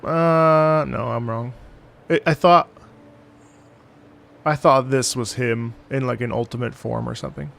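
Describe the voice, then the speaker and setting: A man's voice: mid-range voice, fairly even intonation, a little slow, creaky, long pauses, a bit bright. A man giving casual live reaction commentary as a game streamer. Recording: game music faintly behind voice.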